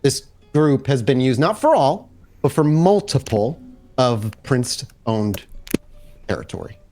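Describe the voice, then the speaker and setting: A man working as game master, improvising narration casually and haltingly as he thinks aloud. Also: medium pitch, very animated, a little mellow, soft background music.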